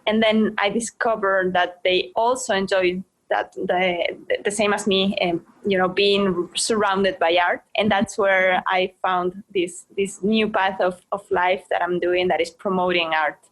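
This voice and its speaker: A woman's voice: medium pitch, steady tone, measured pace, hardly any pauses, rough recording. Hesitant Ecuadorian woman guest. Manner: hesitant, many fillers and restarts, phrasing of a second-language speaker. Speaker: guest, art marketer. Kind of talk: casual interview answers.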